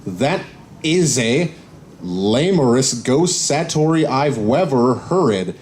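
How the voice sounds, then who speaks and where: A man with a middling pitch reads a story aloud at a live panel. His delivery is slow and deliberate. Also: very animated, darkish tone. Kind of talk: slow deliberate read-aloud of fan fiction. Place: convention panel room.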